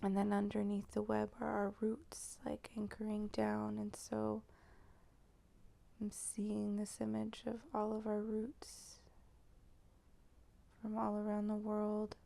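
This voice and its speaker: Slow, creaky, hushed woman guiding meditation. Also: mid-range voice, monotone. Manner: slow, hushed, halting delivery with pauses. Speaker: guided meditation host. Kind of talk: channeled guided meditation, improvised.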